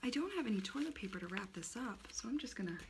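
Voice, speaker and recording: A woman in an ASMR roleplay voicing a cheeky personified monthly period as a female aunt, whispering softly and teasing, close to the mic. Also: on the high side, sing-song, quick pace, gravelly. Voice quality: soft whispering. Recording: noisy recording.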